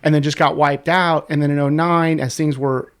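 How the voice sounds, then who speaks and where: A man's voice: fairly high pitch, clear, resonant tone. A man in his forties with a congested, sniffly voice, telling stories in a casual, profane podcast chat as a guest. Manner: fast, profane, animated storyteller. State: congested, sniffling from a sinus infection. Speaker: guest, website co-creator.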